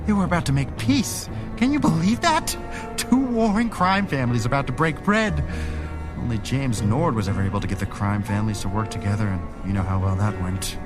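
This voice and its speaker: A man's voice: medium pitch, expressive, fairly quick, music in the background, lightly acted. Man playing a sneering villain, wildly swooping voice. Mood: smug. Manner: theatrical, taunting. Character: sinister megalomaniac male villain, mocking and sneering. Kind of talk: audio drama acting.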